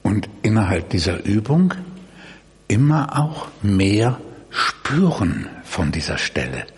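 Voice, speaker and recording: Slow man guiding meditation in echoey hall. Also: lowish voice, big pitch swings. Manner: gentle instruction in short phrases with long pauses. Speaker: meditation guide. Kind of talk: guided body-awareness meditation. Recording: echoey hall, distant mic, noisy.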